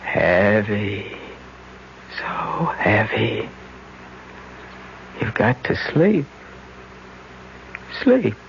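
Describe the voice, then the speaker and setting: Frightened scheming young son, low voice, coaxing, dull radio sound, faint hiss. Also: male voice, creaky, full character voice. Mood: hushed. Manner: pleading as a character, calm and grim as narrator. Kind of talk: 1970s radio drama acting and narration.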